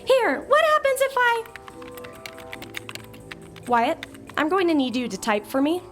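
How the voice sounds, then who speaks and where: A woman playing an exasperated, sharp-tongued young woman. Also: very high voice, huge pitch swings, measured pace, faint music behind, exaggerated voice. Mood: irritated. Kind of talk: comedic audio drama acting.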